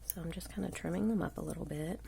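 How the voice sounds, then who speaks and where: Congested woman craft host, low gravelly voice, rambling, faint hiss behind. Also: fast, mellow. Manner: chatty, rambling, often drops to a murmur. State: congested with a cold. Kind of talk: casual live craft tutorial. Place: indoors at a craft table. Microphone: overhead camera on hands at a table.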